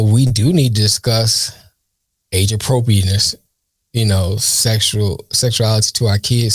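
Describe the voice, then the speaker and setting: A man's voice: lowish voice, no room sound. A man co-hosting a casual chat, musing aloud in rambling thoughts and laughing often.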